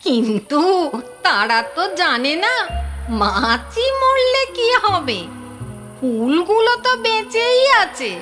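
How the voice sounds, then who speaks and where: A sly, bossy ghost woman gives orders in a high voice. She takes her time over each phrase, commanding and unhurried, over music in an audio ghost story. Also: very animated, bright, forceful tone, heavily acted. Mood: sly, gloating.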